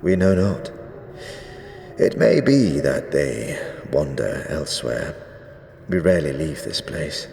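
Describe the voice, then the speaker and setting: A man playing an ancient, zealous skeleton high priest in a fantasy audio drama, speaking gravely in archaic, ceremonious phrases. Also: a little mellow, heavily acted. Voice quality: deep, drawn-out delivery. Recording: echoey reverberant hall, faint noise.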